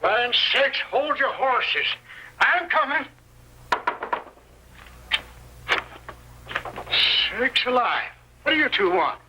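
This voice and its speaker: An actor playing an excitable, friendly old frontier hermit in an old Western radio drama, with folksy exclamations. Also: male voice, very high-pitched, a little slow, heavily acted. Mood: flustered, impatient. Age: older. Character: excitable, friendly old male hermit living alone in the West. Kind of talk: 1930s-40s Western radio acting. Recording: faintly noisy old-time radio recording.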